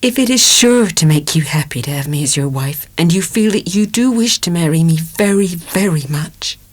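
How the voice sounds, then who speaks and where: A woman's voice: fairly low pitch, lively intonation, normal speed. An actress playing an anguished, tender young dairymaid in love in a dramatic audiobook, hesitant and impassioned as she hides a secret. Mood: yielding.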